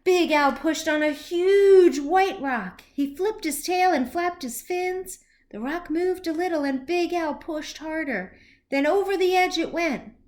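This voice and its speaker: A woman reading a children's story aloud as a teacher would, expressively and with deliberate phrasing for young listeners. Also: extremely high pitch. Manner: animated, slow, deliberate, with questions to young listeners.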